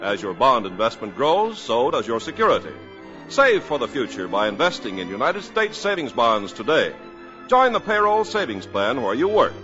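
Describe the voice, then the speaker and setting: A man's voice: medium pitch, very animated, moderate pace, sharp tone. A man announcing on a 1950s radio program in an emphatic, upbeat manner. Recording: old radio broadcast with faint music.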